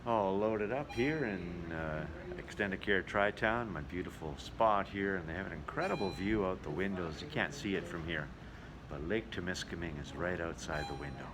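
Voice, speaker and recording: A man's voice: low voice, moderate pace. A man, a travelling entertainer, narrating a casual travel vlog on location. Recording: noisy ambience, vehicle sounds.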